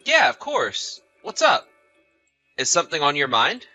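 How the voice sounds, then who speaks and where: A man's voice: fairly high pitch, wildly swooping pitch, a little slow, pushed and bright, soft background music, heavily acted. A man playing an anxious, trusting husband in a scripted audio story, apologetic and full of questions. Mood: apologetic, friendly. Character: anxious, trusting husband often away on business.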